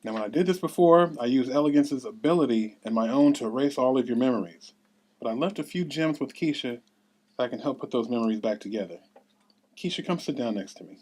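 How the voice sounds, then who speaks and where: A man's voice: mid-range voice, fast, a little mellow, close to an everyday voice. A man reading the part of a solemn exiled council elder in an earnest, expository way at a script table read. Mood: instructing.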